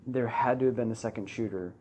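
A man's voice: mid-range voice, quick pace, warm tone, poor audio. A man chatting as a guest on a casual podcast with friends, explaining points in a plain, matter-of-fact way. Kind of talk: casual chat among friends.

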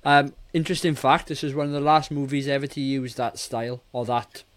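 A man's voice: mid-range voice, fairly even intonation, fairly quick. A man in his forties chatting casually and opinionatedly as a podcast host, exasperated and swearing freely. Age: 40s. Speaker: film review podcast host.

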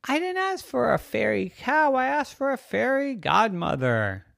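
A man putting on a high, indignant little girl. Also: sing-song, measured pace, gravelly, fairly bright tone, no room sound, studio quality, exaggerated voice. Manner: high, exclaiming, complaining. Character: young girl, indignant, skeptical, blunt and whiny.

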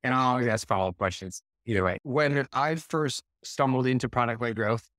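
A man's voice: medium pitch, fairly quick, fairly bright tone, no room sound, clean studio sound. A man hosting a podcast interview, upbeat and enthusiastic in how he talks. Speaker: podcast host.

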